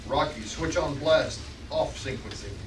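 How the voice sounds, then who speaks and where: A man's voice: mid-range voice, unhurried, natural voice. A man playing a threatening, commanding saboteur villain in space, barking short orders in an amateur script table read. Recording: roomy, far from mic, noisy room.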